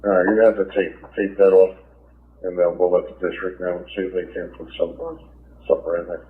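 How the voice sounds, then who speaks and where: A man's voice: low-pitched, level tone, fast. A man working as a police officer, talking over police radio. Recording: tinny narrowband police scanner radio.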